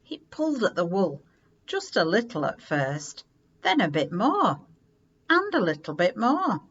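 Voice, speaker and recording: A woman reading a children's story aloud in an expressive, unhurried way with dramatic emphasis. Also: mid-range voice, slightly rough, dull audio.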